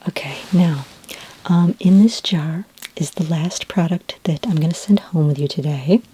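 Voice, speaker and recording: A woman acts out a gentle female skin care consultant in a sci-fi ASMR roleplay. Her voice is low, mellow and very breathy, often slipping close to a whisper. She explains things in an informal, slightly hesitant way, calm and reassuring. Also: measured pace, rough recording. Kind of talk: soft-spoken ASMR roleplay.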